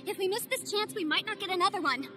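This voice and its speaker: Game voice: a bright-voiced, analytical young adviser, high pitch, music underneath. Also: female voice, quick pace. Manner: analytical, earnest, persuasive. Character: sharp, confident young woman legal adviser.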